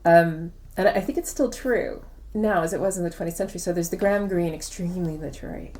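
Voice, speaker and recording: A woman's voice: on the low side, quick pace, a little mellow, a little hiss or hum. A woman speaking conversationally as an interviewed author, explaining things reflectively and repeating words for emphasis. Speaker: interviewed novelist.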